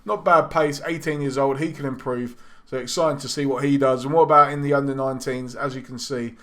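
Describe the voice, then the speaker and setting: A man giving casual, rambling commentary over a football management game, his voice going a little hoarse. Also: medium pitch, fairly even intonation, brisk, fairly bright tone. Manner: chatty, rambling, with frequent ums and self-corrections. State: losing his voice. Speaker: gaming YouTuber.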